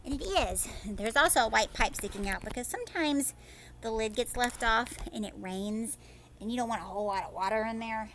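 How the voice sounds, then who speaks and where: Higher-pitched woman teacher reading to children. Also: brisk, a bit bright, slight background noise, low-quality recording. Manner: simple child-directed talk with frequent check-in questions. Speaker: teacher reading a picture book aloud to children. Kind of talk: children's read-aloud with chatty explanatory asides.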